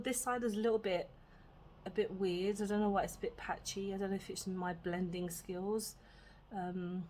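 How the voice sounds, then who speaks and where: A British woman chatting casually through a makeup tutorial at home, her voice a little husky, rambling with many "um"s and "like"s. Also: medium pitch, slight background noise. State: slightly husky from illness. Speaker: beauty vlogger.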